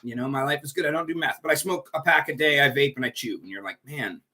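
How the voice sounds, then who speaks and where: Upbeat, congested man with a bright voice. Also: mid-range voice, quick pace. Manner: chatty, rambling, upbeat. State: congested, stuffy nose. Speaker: recovery group leader. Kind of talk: casual livestream talk. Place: at home indoors.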